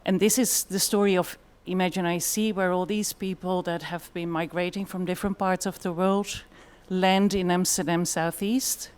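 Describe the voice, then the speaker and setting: Dutch-accented woman giving a conference talk, kinda low voice, lots of ums and uhs. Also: steady tone, normal speed, few pauses, a little mellow, clean tone. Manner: thoughtful, with frequent fillers. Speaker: conference speaker. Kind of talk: conference presentation.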